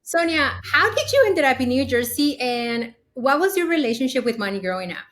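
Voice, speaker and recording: A woman's voice: high voice, big pitch swings, fairly quick, fairly bright tone. A woman hosting a podcast interview, upbeat and enthusiastic as she asks questions. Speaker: podcast host.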